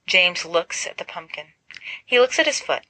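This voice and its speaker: Bright-voiced woman reading a story. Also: fairly low pitch, very animated, normal speed, no room sound, poor audio. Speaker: fan fiction audiobook reader. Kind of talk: expressive scripted story narration.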